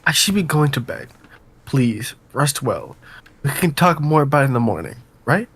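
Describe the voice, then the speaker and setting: A man's voice: medium pitch, very animated, moderate pace, slightly rough. A man reading the part of a troubled, polite young noblewoman in a visual novel. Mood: gentle. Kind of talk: dramatic read of visual novel dialogue.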